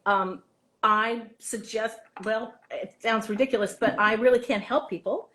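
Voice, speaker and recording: A woman with a slightly high voice talks as an experienced drama teacher being interviewed. She is chatty and encouraging, offering practical advice informally and repeating words to stress them. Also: steady tone, a little slow, sharp tone.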